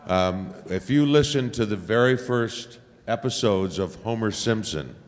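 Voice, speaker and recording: A man's voice: on the low side, lively intonation. A man with a big voice speaking hesitantly as a voice actor on a convention panel. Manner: rambling, hesitant, fills pauses with uh. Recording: hall reverb from convention room.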